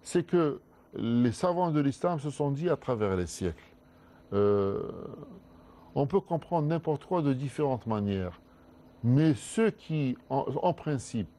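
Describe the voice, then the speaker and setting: A man, a guest scholar in a studio discussion, explaining at length in a measured way with frequent restarts. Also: medium pitch, expressive, dark tone.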